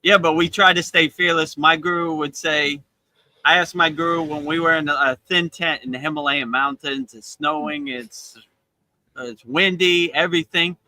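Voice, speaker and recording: A man's voice: on the high side, steady tone, normal speed, bright, forceful tone, dry recording, low-quality recording. A man from Miami, Florida, hosting a live spiritual talk and telling stories in a chatty way, dropping in "you know" often and laughing between points. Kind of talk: casual spiritual storytelling. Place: outdoors in Thailand.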